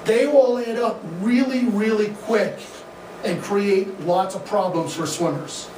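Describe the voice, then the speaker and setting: A man's voice: high voice, a little slow, distant mic. A middle-aged man with a Midwestern accent, a swim coach giving a live talk to an audience in a dim room, talking energetically with fillers. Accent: Midwestern American. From American Midwest. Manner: energetic, gesturing, conversational with fillers. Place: dim indoor event room, in front of a projection screen. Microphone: room camera from the audience, no mic seen. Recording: echoey room, voice from afar, faint noise.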